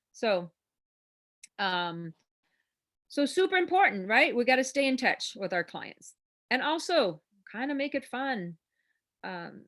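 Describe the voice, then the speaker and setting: Woman host coaching a real estate class, mid-pitched, chatty and encouraging, loose and rambling. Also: a bit bright. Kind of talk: informal coaching talk.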